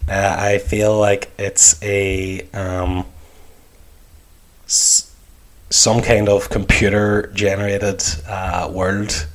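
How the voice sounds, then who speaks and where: A man with a low-pitched voice talks casually as a podcast co-host. He builds his points tentatively, pausing and thinking aloud. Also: flat delivery, low-quality recording. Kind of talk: casual chat.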